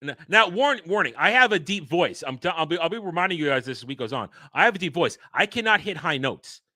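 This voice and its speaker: A man hosting a casual livestream, rambling and excitable, restarting his sentences often. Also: on the high side, quick pace, no echo, clean studio sound. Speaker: livestream host.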